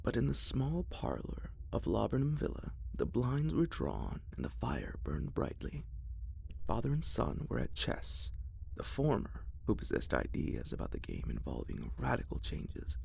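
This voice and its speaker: A man narrating a classic horror story in a soft ASMR whisper. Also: lowish voice, huge pitch swings, gravelly, breathy edge, no echo. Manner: whispered, slow and hushed.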